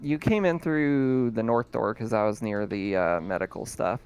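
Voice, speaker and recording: A man's voice: lowish voice, moderate pace, darkish tone, smooth. A man acting as game master, casually narrating a tabletop roleplaying game.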